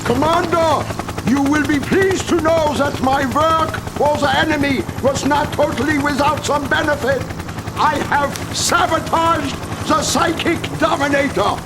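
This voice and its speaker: Elderly male scientist for game cutscene, extremely high pitch, scholarly and genial, heavy background din. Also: steady tone, unhurried. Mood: genial, wry. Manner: formal, fussy. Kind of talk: video game cutscene acting. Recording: loud battlefield noise behind.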